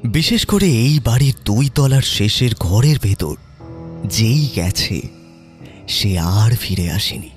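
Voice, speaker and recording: A man narrating a scripted horror story in a slow, measured way. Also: on the low side, lively intonation. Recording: narration over background music.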